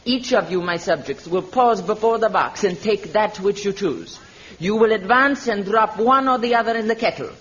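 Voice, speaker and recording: A woman's voice: mid-range voice, expressive, normal speed, close to an everyday voice. A woman playing a proud, imperious Spanish queen of man-hating women in a vintage radio adventure, haughty and commanding. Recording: dull vintage radio recording.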